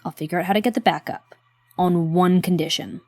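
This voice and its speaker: Actress as wry woman sidekick, lowish voice. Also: a little fast, dark tone, dry recording, lightly acted. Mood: reluctant, wry. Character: wry, reluctant but loyal woman helping an investigation. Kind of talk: psychological horror audio drama acting.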